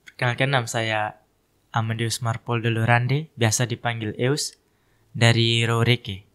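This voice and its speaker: A teenage high school student answering interview questions in hesitant, broken-up phrases. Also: male voice, little pitch movement, unhurried, a bit bright. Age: teens. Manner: hesitant, speaks in short pieces. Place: studio.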